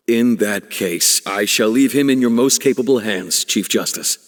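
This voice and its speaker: Slow, formal male official, played by an actor. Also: on the low side, natural voice. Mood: resigned. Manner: formal, resigned, measured. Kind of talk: dramatic video game voice acting.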